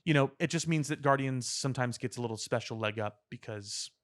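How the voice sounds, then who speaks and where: Guy on a podcast, normal pitch, earnest opinions, kinda wordy with thoughtful pauses. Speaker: podcast co-host. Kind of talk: opinionated casual pop-culture discussion.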